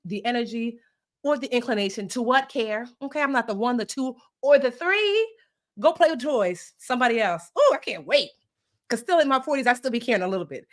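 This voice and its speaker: Sassy woman in her forties teaching money tips. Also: fairly high pitch. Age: 40s. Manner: playful, sassy, talks straight to her audience. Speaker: personal finance host. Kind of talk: live-stream teaching chat.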